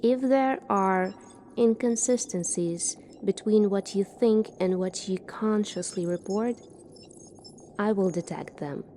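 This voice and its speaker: A woman in a soft-spoken ASMR roleplay, playing a calm, formal military doctor in a measured, clinical manner. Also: mid-range voice, a little hiss or hum.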